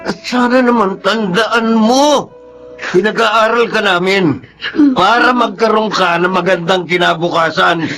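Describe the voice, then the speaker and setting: A man's voice: sits high, fairly even intonation, measured pace, bright, forceful tone, soft background music, full character voice. A man playing a stern, exasperated working-class father in a radio drama, with an emphatic delivery. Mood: stern, scolding. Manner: scolding, emphatic.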